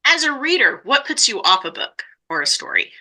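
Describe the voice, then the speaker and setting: Woman podcast host, very bright voice. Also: high voice, very animated, a little fast. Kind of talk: conversational interview, asking questions.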